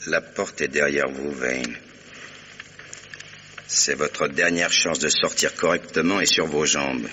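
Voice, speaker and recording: An actor playing a stern, devoted husband in a French radio mystery play, speaking with formal, earnest authority. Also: male voice, fairly even intonation, takes it slowly, pushed and bright, a little hiss or hum, exaggerated voice. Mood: firm, threatening. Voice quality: very deep, gravelly, husky. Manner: earnest, commanding, formal address. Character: stern, devoted new husband, protective and determined.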